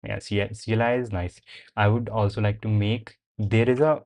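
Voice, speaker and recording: A man's voice: fairly low pitch, fairly even intonation, normal speed, a little mellow. A young man, a native Hindi speaker, streaming and chatting casually while answering chat questions and thinking aloud, with the odd swear word.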